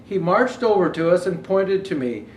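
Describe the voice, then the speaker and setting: A man's voice: high voice, moderate pace. A man reading a children's Christmas story aloud expressively as a storyteller, adding casual asides. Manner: expressive, raises voice for quoted speech. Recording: roomy echo, faint background noise.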